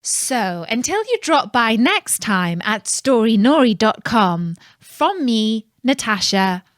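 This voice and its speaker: A woman narrating a fairytale for children in a calm, measured, scripted storytelling style. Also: fairly high pitch, no room sound, studio quality.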